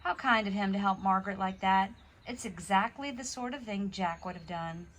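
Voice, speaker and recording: Woman as a calm, bright storybook girl. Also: mid-range voice, moderate pace, slight background noise, lightly acted. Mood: reassuring. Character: warm, reassuring young girl, the storybook sister.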